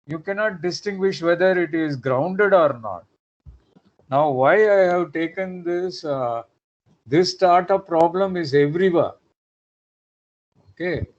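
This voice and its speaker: Slow, hesitant male lecturer. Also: on the high side, dull audio. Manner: hesitant with frequent "uh", rhetorical tag questions. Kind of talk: online lecture.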